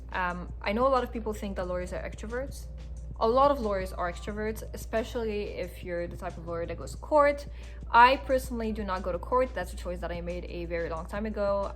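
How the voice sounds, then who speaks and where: Young woman, native French speaker, mid-pitched voice, earnest casual vlog talk, light music in background. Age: 20s. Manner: earnest, frequent ums and restarts. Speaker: lawyer answering viewer questions. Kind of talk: casual to-camera Q&A.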